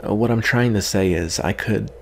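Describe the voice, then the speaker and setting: Casting: male actor as wry, guarded vampire for ASMR roleplay, very low voice, soft and hesitant, faint noise behind. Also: moderate pace, gravelly. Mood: gentle, hesitant. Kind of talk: ASMR roleplay, intimate scripted acting.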